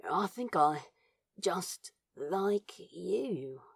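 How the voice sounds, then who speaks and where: A woman voicing an awkward, lovestruck, foul-mouthed man in a fan fiction audio drama, halting and rambling, with a husky, breathy voice. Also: lowish voice, lively intonation, full character voice. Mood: nervous, lovestruck.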